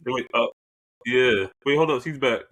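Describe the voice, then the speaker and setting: A man's voice: medium pitch, moderate pace, fairly bright tone. A man from New Jersey hosting a podcast in easygoing casual chat, stammering a little.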